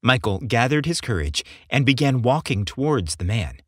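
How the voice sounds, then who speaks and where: A man narrating scripted fiction as an audiobook narrator, in a measured, expressive storytelling manner. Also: medium pitch, breathy edge, no room sound, clean studio sound.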